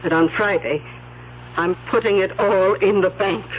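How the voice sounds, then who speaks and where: An actress playing a flustered, suspicious older woman who hoards her money, fretful and halting in an old radio mystery. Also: medium pitch, natural voice. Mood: anxious. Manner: fretful, halting, repeating herself. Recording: dull, noisy old radio broadcast.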